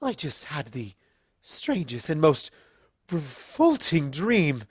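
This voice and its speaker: A woman voicing a dainty, melodramatic female cartoon pony in a theatrical, drawn-out manner. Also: sits low, big pitch swings, husky, no echo, studio quality, pitched above the performer's own voice, heavily acted. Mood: dazed, disgusted.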